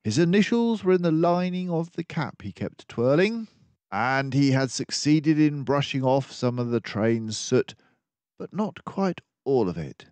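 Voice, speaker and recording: A man's voice: mid-range voice, sing-song, unhurried, a little mellow, no room sound, voice pushed up. A man voicing a self-assured mouse detective from a storybook, formal and precise as he explains. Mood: confident, explaining. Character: confident, precise male mouse detective, lecturing and brisk.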